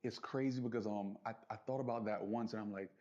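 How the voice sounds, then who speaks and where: The kind of earnest, lowish-voiced man you'd hear as a guest on a homemade entrepreneur podcast, reflecting haltingly through muffled audio. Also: steady tone, a little mellow. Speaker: interview guest, business entrepreneur. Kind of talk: casual reflective conversation. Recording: dull lo-fi audio.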